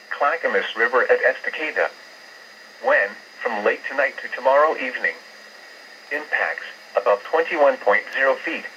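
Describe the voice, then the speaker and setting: A synthetic male voice with an American accent, reading formal weather alert bulletins in a measured, impersonal manner over a weather radio broadcast. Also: mid-range voice, bright, forceful tone. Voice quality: synthetic text-to-speech voice. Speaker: automated weather radio announcer. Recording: narrowband hissy weather radio reception. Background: faint radio hiss.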